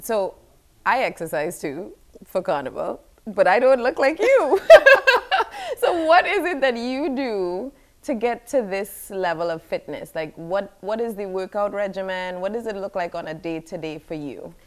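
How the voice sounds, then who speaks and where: A woman's voice: mid-range voice, animated, measured pace. A woman hosting a studio TV interview, upbeat with polished introductions and short encouraging replies. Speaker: TV host. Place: TV studio, seated.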